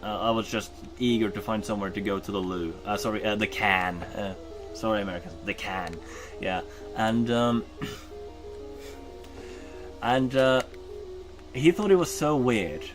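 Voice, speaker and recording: A man's voice: lowish voice, a little slow, long pauses, over music. Rambling Norwegian man streaming a game. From Norway. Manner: rambling, many ums and uhs, self-correcting. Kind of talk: casual chat while gaming.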